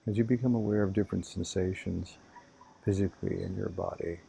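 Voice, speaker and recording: A man leading a guided meditation as a teacher, calm and unhurried, giving gentle instructions. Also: deep voice, vocal fry, a little hiss or hum.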